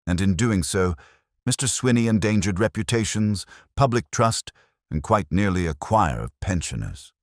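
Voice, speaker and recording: A man voicing a gentle, wry, probing police inspector in a detective drama, speaking in measured, pointed phrases. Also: fairly low pitch, expressive, no echo, voice pushed up, natural voice. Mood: grave, wry. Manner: measured, dry, pointed questioning.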